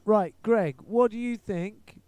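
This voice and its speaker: Brief: male podcast host, high mellow voice, smooth and dry, easygoing and chatty. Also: slow, no echo, muffled recording. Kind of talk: casual chat.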